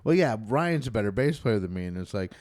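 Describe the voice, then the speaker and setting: Low-voiced man rambling in casual chat. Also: sing-song, a little mellow. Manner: rambling, halting, sweary. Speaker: podcast host and band bass player.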